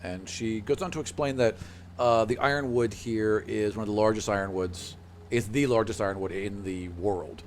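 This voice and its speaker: A man's voice: lowish voice, fairly even intonation, moderate pace, a little hiss or hum. A man narrating a tabletop roleplaying game casually as the game master.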